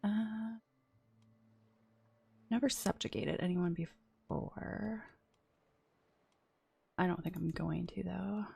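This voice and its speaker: A woman streaming a strategy game and chatting casually, a bit sniffly from a runny nose, often dropping into soft whispered asides. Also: lowish voice, slow, vocal fry, pauses often, breathy edge. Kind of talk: casual gameplay commentary.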